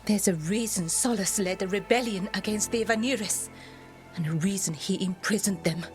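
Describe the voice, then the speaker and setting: An actress playing an earnest, solemn female elf expert in ancient magic in a video game, grave and measured. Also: mid-range voice, faint music behind, natural voice. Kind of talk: video game dialogue acting.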